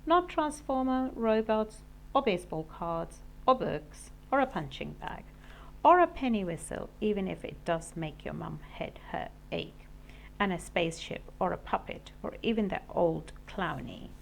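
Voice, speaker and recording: A woman's voice: mid-range voice, expressive, a little slow, vocal fry, slight background noise, low-quality recording. A woman voicing a blunt, choosy young boy who drives a hard bargain in a storybook, with punchy delivery. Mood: blunt, emphatic. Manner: emphatic, punchy listing.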